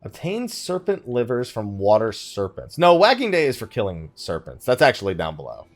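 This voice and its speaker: A man's voice: fairly low pitch, huge pitch swings, normal speed. A man giving casual let's play commentary, thinking aloud in a wry, conversational way. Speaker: gaming YouTuber, host of the let's play.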